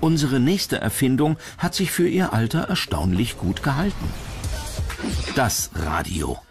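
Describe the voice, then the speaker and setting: Lively male documentary narrator over music. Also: medium pitch, a little slow. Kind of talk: scripted narration. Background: background music.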